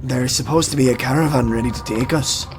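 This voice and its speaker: A man playing a rough, colloquial soldier in a fantasy audio drama. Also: mid-range voice, brisk, background noise, lightly acted. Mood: wary puzzlement. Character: rough, unhurried male soldier escorting travelers. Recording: loud music bed underneath.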